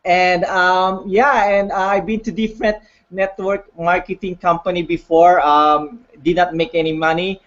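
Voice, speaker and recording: A man's voice: sits high, level tone, fairly bright tone, highs cut off. A man with a Filipino accent who speaks English as a second language. He talks hesitantly on a video call, full of fillers and restarts. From Philippines. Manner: halting, many fillers and restarts. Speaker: guest on online call. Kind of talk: casual hesitant testimony.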